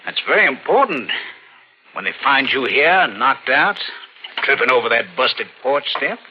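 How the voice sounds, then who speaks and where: An American actor playing a scheming, menacing crook in an old radio thriller, with a sly, mock-friendly, insinuating way of talking. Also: male voice, medium pitch, big pitch swings, unhurried, breathy edge. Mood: ruthless. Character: scheming, menacing crook after his uncle's money. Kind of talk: 1940s radio thriller acting. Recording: dull old radio drama recording.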